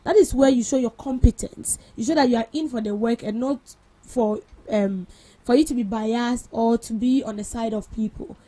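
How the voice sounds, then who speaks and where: Ghanaian lady on breakfast radio, kinda high pitched, soft mellow tone, very opinionated, jumps in a lot. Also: low-quality recording. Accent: Ghanaian English. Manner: emphatic, quick to cut in with firm opinions. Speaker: radio show co-host. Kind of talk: casual radio chat about news.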